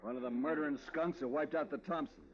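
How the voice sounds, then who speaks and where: An actor playing a hostile, aggressive cattle rancher in a 1950s radio western, talking in a blustering, challenging way. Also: male voice, a little high, quick pace, dull audio, crowd noise, close to an everyday voice. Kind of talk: 1950s radio drama acting.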